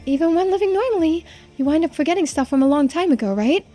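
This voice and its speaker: Woman voicing a coy, high-voiced immortal girl. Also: expressive, quick pace, warm tone, soft background music. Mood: reflective, playful. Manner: hesitant, understated, coy. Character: immortal centuries-old girl who looks seventeen, coy, playful. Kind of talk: voiced visual novel playthrough.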